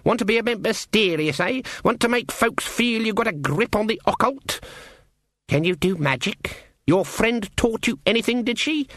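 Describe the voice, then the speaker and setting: A man's voice: high voice, lively intonation, normal speed, a bit bright, no room sound, studio quality, voice pushed up. A man voicing a stern, sardonic old village witch woman in an audiobook, brusque and scornful, with folksy speech. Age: older.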